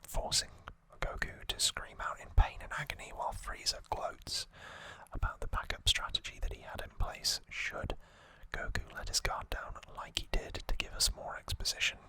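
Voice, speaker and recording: An ASMR host speaking in a gentle, halting, unvoiced whisper throughout. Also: poor audio. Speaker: host of an anime trivia channel.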